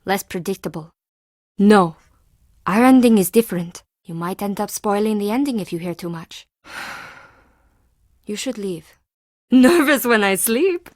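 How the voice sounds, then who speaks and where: A woman's voice: medium pitch, lively intonation, a little slow, long pauses, a little mellow, dry recording, clean studio sound, close to an everyday voice. An actress playing a timid, defensive woman artist and forger in a video game, with intense, theatrical delivery in clipped phrases. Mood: nervous, weary. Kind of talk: scripted video game character dialogue.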